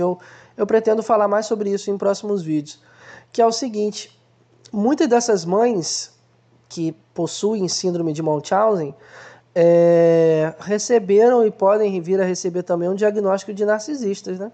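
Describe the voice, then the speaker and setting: A man's voice: high-pitched, normal speed, mellow. A man hosting a casual film analysis, explaining ideas conversationally and acting out everyday examples.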